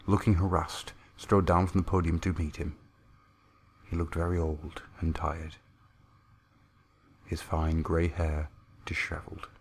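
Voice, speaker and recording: Low, creaky-voiced male storyteller. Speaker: narrator. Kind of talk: dramatic fan audiobook reading of science-fiction horror.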